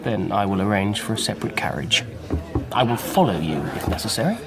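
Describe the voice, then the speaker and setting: A male actor playing a loyal, earnest gentleman friend in a period audio drama, politely insistent. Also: lowish voice, big pitch swings, fairly quick, over a noisy crowd.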